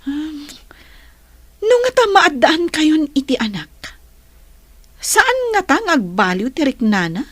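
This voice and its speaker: A woman playing a nosy, excitable best friend in a radio drama, exclamatory and gossipy. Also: sits high, slow pace, pauses often, dry recording, exaggerated voice. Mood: doubtful, advising. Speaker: audio drama actor.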